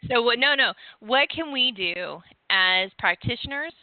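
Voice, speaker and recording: A woman's voice: a little high, animated, measured pace. Rambling woman host on a tinny line. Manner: rambling, searching for words with many restarts. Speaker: podcast host and former hypnotist. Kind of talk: casual interview chat.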